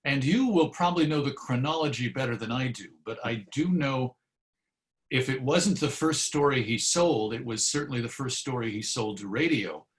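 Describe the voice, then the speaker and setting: A man's voice: medium pitch, moderate pace. A man speaking as an interview guest, telling discursive, articulate anecdotes in a conversational way about his field of history.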